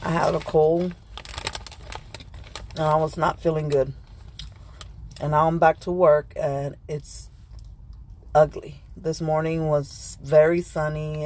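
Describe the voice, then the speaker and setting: A middle-aged woman vlogger with a slightly hoarse voice from a cold, chatting casually and rambling while she eats lunch in her car. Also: low-pitched, a little mellow. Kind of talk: casual chat.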